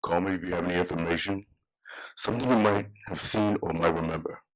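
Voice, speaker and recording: A man playing a calm, businesslike plainclothes police officer in a scripted table read. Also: low-pitched, quick pace, lightly acted, poor audio.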